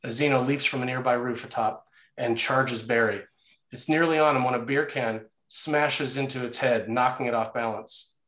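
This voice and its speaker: A man's voice: medium pitch, fairly even intonation, moderate pace, a bit bright. A man narrating action and scene headings from a screenplay in a scripted read. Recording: roomy, echoey sound.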